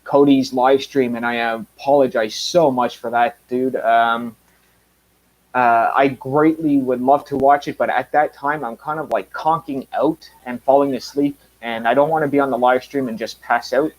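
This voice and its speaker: A man in his mid-thirties talks loosely on his own live stream, wandering between thoughts with plenty of uhs and restarts. His voice sits at an ordinary middle pitch for a man. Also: fairly even intonation, normal speed, poor audio. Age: 30s. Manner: rambling, many fillers and restarts. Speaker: channel host. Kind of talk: casual live stream chat.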